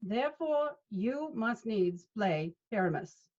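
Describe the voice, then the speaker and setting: A woman's voice: a little high, expressive, slow, darkish tone. A woman playing a patient male leader of amateur players in a Shakespeare read, instructing expressively over a video call. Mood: coaxing. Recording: dull video-call audio.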